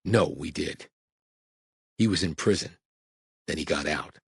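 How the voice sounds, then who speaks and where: A man voicing a huge, blunt federal agent who speaks quietly and matter-of-factly. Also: very deep voice, a little slow, gravelly, breathy edge, no echo, studio quality, full character voice. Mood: low-key. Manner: quiet, terse, matter-of-fact.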